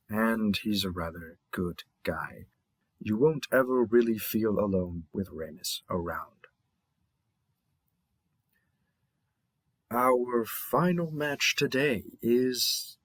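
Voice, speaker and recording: A man playing a friendly, courteous male host of a monster dating app in a scripted audio roleplay, presenting in a measured, polite way with deliberate pauses. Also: mid-range voice, a bit bright, husky, dry recording, exaggerated voice. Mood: reassuring.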